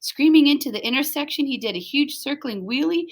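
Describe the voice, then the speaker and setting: A woman's voice: high-pitched, lively intonation, fairly quick. A woman reading a children's story aloud as scripted narration, expressively, with dramatic emphasis. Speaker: storybook reader.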